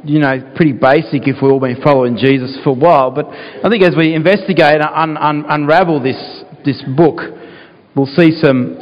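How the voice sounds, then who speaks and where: Croaky male pastor, earnest, halting fillers, long church reverb, dull lo-fi sound. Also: medium pitch. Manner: earnest, with frequent fillers and restarts. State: croaky, clearing his throat. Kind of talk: sermon with prayer and scripture reading.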